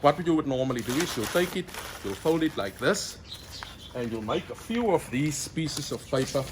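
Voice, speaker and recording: A middle-aged South African man with an Afrikaans accent talks to the camera in a chatty, friendly way, calling his viewers friends. His voice sits at an ordinary middle pitch. He is recorded outdoors, with a faint hiss of open air behind him. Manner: chatty, warm storyteller addressing viewers as friends. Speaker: cooking vlogger. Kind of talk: friendly outdoor cooking tutorial. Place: outdoors at a braai fire.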